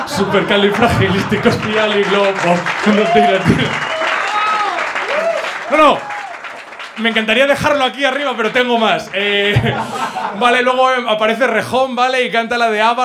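High-voiced Spanish stand-up comic. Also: male voice, noisy background. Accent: Spain. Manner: animated, theatrical delivery. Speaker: stand-up comedian. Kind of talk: stand-up comedy with comic singing. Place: small comedy club stage. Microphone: handheld microphone.

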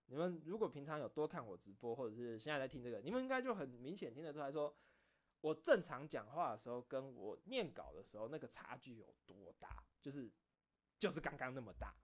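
A man chatting casually on his own as a streamer, rambling through stories in an animated, self-deprecating way. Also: mid-range voice, a little fast. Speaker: streamer and video creator. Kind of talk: casual solo chat, recounting behind-the-scenes stories.

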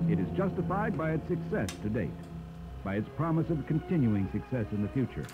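A man's voice: medium pitch, sing-song, a little fast. Formal male narrator on a dull cassette, music behind. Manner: formal, oratorical. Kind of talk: scripted documentary narration.

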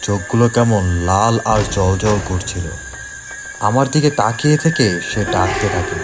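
Low-voiced man narrating horror over music. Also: animated, normal speed. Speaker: story narrator. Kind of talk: scripted first-person horror narration for a cartoon.

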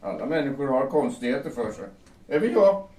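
A man's voice: medium pitch, big pitch swings, a little mellow, lightly acted. Man acting besotted fiancé, echoey and distant. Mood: explaining. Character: Vallette, besotted fiancé defending his bride-to-be. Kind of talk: audio drama acting. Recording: echoey room, distant, faint noise.

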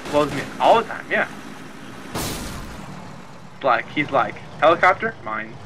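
A man's voice: a little high, very animated, unhurried. A man chatting and telling stories casually while gaming, with a wry, mock-exasperated manner. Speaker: video game player. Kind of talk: casual gaming chat, storytelling. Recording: clear engine noise behind. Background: game vehicle engine noise.

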